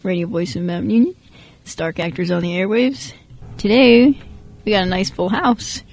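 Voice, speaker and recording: A woman's voice: mid-range voice, moderate pace, gravelly, darkish tone. A young woman hosting a student radio show in a casual, chatty way. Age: young adult. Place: radio studio.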